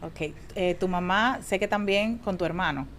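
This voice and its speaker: A woman, a religious sister hosting a podcast in clear presenter and interview talk. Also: fairly low pitch, normal speed, a little hiss or hum. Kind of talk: scripted intro and warm interview talk.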